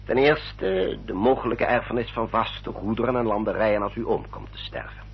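An actor playing a courteous English family solicitor in a radio drama, speaking in a formal, measured, explanatory manner. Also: male voice, fairly low pitch, steady tone, husky. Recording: dull, muffled old radio recording.

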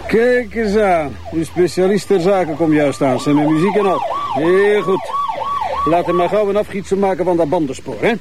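Irritable Flemish crime-scene expert, male, slightly high voice, gruffly mocking, television police drama. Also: huge pitch swings, moderate pace, heavy background noise. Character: grumpy, sarcastic male forensic investigator.

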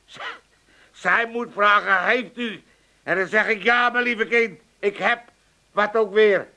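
A man's voice: high-pitched, fairly even intonation, takes it slowly, sharp tone, full character voice. An actor playing a cheeky, argumentative old father with an Amsterdam accent in a comic radio play, blustering and tripping over his words. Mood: self-important. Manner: blustering, muddled, stammering over grammar.

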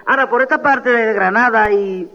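Chatty high-voiced woman. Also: moderate pace, pushed and bright. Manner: chatty, argues with many fillers and tag questions. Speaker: flamenco artist, interviewee. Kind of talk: archival radio interview. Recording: dull old radio tape with long reverb.

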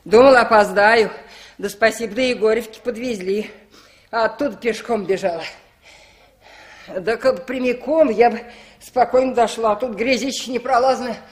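A woman's voice: a little high, moderate pace, natural voice. An actress playing a fussy, anxious middle-aged village woman with folksy chatter in a radio play. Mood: fretful chatter. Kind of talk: 1960s Soviet radio play acting.

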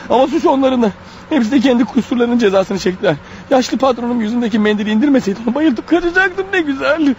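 Radio play role: wounded killer on the run, very high-pitched, rapid, agitated, self-pitying, fading strength. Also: male voice, expressive, darkish tone. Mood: anguished, self-justifying. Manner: agitated, muttering to himself, snapping at others. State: weak, bleeding, fighting off sleep. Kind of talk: radio drama acting with inner monologue. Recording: dull radio recording with faint noise.

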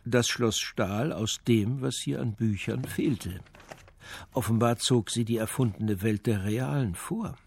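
A man's voice: mid-range voice, a little slow, gravelly, slightly rough. A male actor playing the sober, matter-of-fact narrator of a detective story in a scripted radio play.

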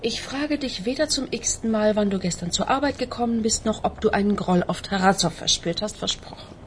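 An actress playing a businesslike police investigator, asking brisk, insistent questions in a radio crime drama. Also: female voice, mid-range voice, a little hiss or hum, natural voice.

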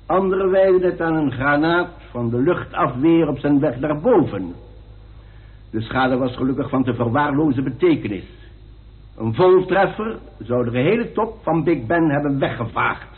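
A solemn coroner played by a male actor holds forth in a radio play. His voice sits on the high side, and his phrasing is pompous and ceremonial. The sound is thin and narrow, like an old broadcast. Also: expressive, lightly acted. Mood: solemn, formal. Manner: pompous, deliberate, orotund. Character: formal, solemn male coroner of the royal household. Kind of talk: radio drama acting, ceremonial speech. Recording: tinny band-limited old radio recording.

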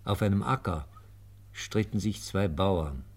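An actor as a sober, factual chronicler, narrating a radio play from a script. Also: male voice, low voice, unhurried. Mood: measured.

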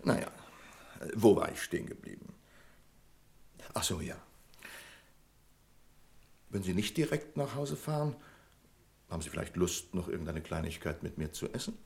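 A pedantic boss with swooping intonation. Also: male voice, sits low, animated, moderate pace, gravelly, long pauses, a little mellow. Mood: brisk, self-important. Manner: pedantic, imperious, self-important, clipped orders. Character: pedantic, overbearing male bank branch manager. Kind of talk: 1970s German radio play acting.

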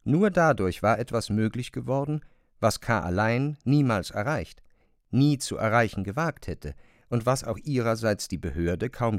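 Male narrator, middle-pitched voice, literary radio drama, deliberate phrasing, dry sound. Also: no echo.

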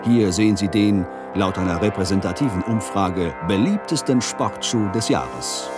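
A man's voice: lowish voice, lively intonation, normal speed, close to an everyday voice. A man acting a bombastic advertising announcer in a German radio play, slick and declamatory. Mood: grand, promotional. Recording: loud brass music behind.

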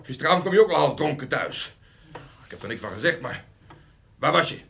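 Hoge, scherpe, mopperende echtgenoot. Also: male voice, fairly high pitch, animated, fairly quick, creaky, fairly bright tone. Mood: exasperated scolding. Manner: scolding, sarcastic. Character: exasperated, sarcastic, jealous husband. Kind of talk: radio drama acting.

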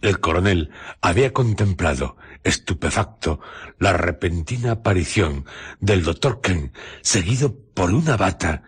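A man narrating a suspense tale for Spanish radio theatre, with solemn, measured and dramatic phrasing. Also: sits low, lively intonation, breathy edge, heavily acted. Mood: dramatic, grave. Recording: noisy radio drama recording with clicks.

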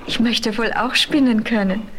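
A woman's voice: fairly high pitch, steady tone, moderate pace, natural voice. A woman playing a curious, polite young princess in a fairy-tale radio play, on a noisy vinyl transfer. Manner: eager, polite. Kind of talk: 1970s German fairy-tale audio drama acting.

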